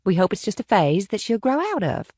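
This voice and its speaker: A woman's voice: mid-range voice, very animated, fairly quick, darkish tone, dry recording, studio quality. A woman playing a breezy, arch, somewhat defensive wealthy mother in an audiobook drama.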